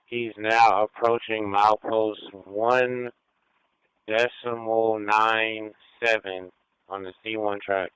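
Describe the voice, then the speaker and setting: A man's voice: on the low side, flat delivery, takes it slowly, a bit bright, clean tone, no echo, rough recording. A man working as a train operator, giving field reports over a two-way radio. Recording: dry transit radio transmission.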